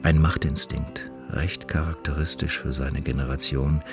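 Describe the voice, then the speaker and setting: A man reading a literary text aloud as scripted narration, in a measured, formal way. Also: very deep voice, little pitch movement, gravelly. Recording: music playing behind the voice. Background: music.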